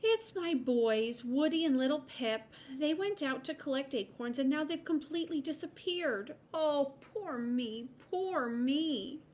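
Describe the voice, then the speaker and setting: A woman's voice: on the high side, very animated, moderate pace, few pauses, voice pushed up. A woman voicing a fretful but firm mother acorn in a children's storybook, complaining with emphasis. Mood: distressed.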